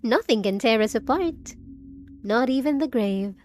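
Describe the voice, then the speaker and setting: A woman's voice: on the high side, expressive, unhurried, darkish tone, soft background music. A woman voicing a possessive, unhinged undead bride in a roleplay audio drama, speaking with sweet pet names, mock pity and clingy menace. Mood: possessive, gloating.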